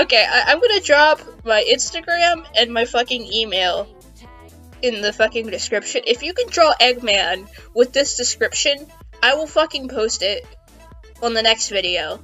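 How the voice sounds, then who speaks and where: Female comedy narrator, fairly high voice, playful dramatic reading, casual delivery over background music. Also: bright, forceful tone. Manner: amused, playful, giggly. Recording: music behind the voice.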